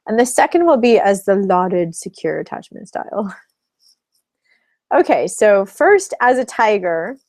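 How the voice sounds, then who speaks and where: Woman coach, webinar teacher, slight vocal fry, dry close sound, chatty and explanatory. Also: medium pitch, a little mellow, no room sound. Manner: chatty, explanatory, laughs between points. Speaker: relationship coach.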